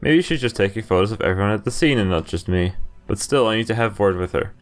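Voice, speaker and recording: Man, lowish voice, reading game text aloud, casual asides, light music behind. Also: fast. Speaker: video game playthrough host. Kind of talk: reading visual novel narration aloud with casual commentary.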